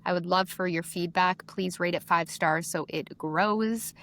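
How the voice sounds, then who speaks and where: A woman's voice: lowish voice, a little slow, fairly bright tone. A woman co-hosting a casual podcast, chatty and reading out lists of figures. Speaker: podcast co-host.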